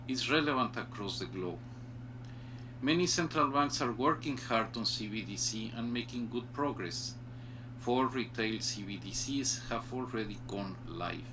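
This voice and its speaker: A man delivering a measured, formal prepared speech as a central bank official. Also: medium pitch, background noise.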